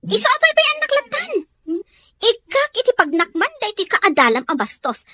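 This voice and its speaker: Spoiled, defiant schoolgirl, played by a woman, audio drama: very high, swooping delivery, picks fights. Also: huge pitch swings, brisk, pushed and bright, no echo, clean studio sound, heavily acted. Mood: confrontational. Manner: snappy, confrontational. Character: spoiled, quarrelsome young schoolgirl daughter, rude and defiant. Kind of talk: Ilocano audio drama acting.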